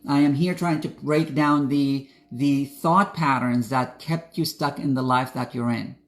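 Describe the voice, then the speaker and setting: A man's voice: mid-range voice, fairly even intonation, a little slow. A young man from Iraq, a spiritual coach, talking straight to his viewers in an earnest, preachy motivational style. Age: young adult. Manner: earnest, direct, preachy, addresses viewers as guys. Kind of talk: motivational talk to camera.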